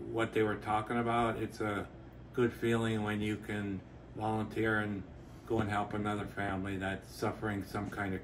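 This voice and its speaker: A middle-aged farmer and volunteer telling of the help his family got, in a grateful, steady way. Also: lowish voice, slight background noise, poor audio. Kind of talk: interview answers.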